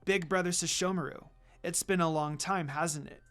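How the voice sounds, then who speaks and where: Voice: medium pitch, steady tone, normal speed, fairly bright tone, faint music behind, natural voice. A narrator voicing a proud, courteous female demon dog, a protective mother with formal phrasing. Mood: grateful, kind.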